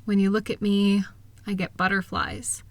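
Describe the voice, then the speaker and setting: A woman playing a loving, reassuring wife in an intimate comfort roleplay, speaking in a gentle, soothing, affectionate way. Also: mid-range voice, little pitch movement, moderate pace, no room sound, lightly acted. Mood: tender, reassuring. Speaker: audio roleplay voice performer.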